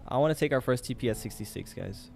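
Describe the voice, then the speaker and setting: A man's voice: mid-range voice, expressive, quick pace, gravelly, darkish tone. A young man, raised in Thailand, hosting a casual live trading stream and talking to his chat with lots of fillers. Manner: chatty, talks to chat with many fillers.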